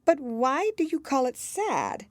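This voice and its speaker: A woman's voice: sits high, big pitch swings, measured pace, dry recording, pitched above the performer's own voice. A woman voicing an earnest, polite little storybook girl, eager and wistful in manner. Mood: curious, eager. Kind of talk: children's storybook character voice.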